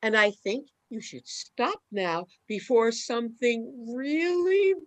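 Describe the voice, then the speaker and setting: A woman as a gentle, slow-talking mother. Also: on the high side, lively intonation. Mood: soothing. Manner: gentle, soothing. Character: doting, gentle, credulous mother.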